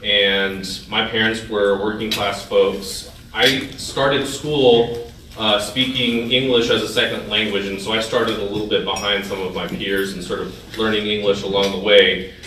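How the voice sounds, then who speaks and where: Low-voiced man in an echoey hall. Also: fairly even intonation, unhurried, bright, forceful tone, a little hiss or hum. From California's Central Valley. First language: Thai. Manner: hesitant, many fillers and restarts. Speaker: guest speaker. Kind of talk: informal speech to a club. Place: large dining hall. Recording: echoey hall, mic far off.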